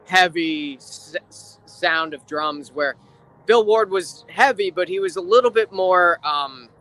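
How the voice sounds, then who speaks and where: Bright, clear-voiced man chatting casually. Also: high voice, unhurried, rough recording. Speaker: guest, rock drummer and drum teacher. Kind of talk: casual interview chat.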